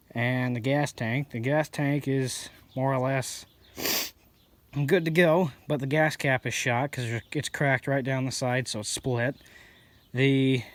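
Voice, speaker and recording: A sniffly man narrating his repair work in a casual, rambling, blunt way, often correcting himself. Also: medium pitch. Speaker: hobby mechanic vlogger.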